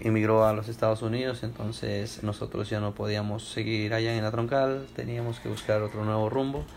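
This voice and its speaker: Young man from Ecuador, flat low voice, hesitant with frequent restarts, interview at home. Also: a little hiss or hum. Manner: hesitant, many fillers and restarts. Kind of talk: oral history interview.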